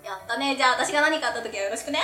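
Excitable high-pitched woman hosting. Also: rapid-fire. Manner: giggly, excitable. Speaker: radio show host. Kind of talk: casual chat interviewing a guest. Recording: roomy echo, music behind. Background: background music.

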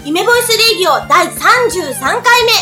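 A woman's voice: very high voice, animated, moderate pace. A woman hosting a casual radio talk show, switching between polite announcing and informal chat. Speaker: radio host. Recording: light music bed under the voice. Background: background music.

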